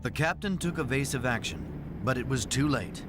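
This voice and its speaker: A man narrates a dramatic documentary from a script. His pitch is medium and moves in a lively, expressive way. Music plays clearly behind him. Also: moderate pace.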